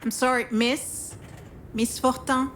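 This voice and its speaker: An actress playing a calm, serious hospital doctor in a video game, speaking in a measured, frank, professional way. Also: female voice, high voice, a little hiss or hum. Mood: formal, pressing. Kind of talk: naturalistic video game voice acting.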